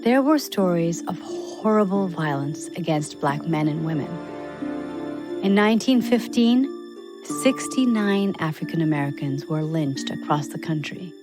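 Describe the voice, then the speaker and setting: A woman's voice: lowish voice, expressive, unhurried, over music. A woman reading a scripted biographical story as a podcast narrator for young listeners.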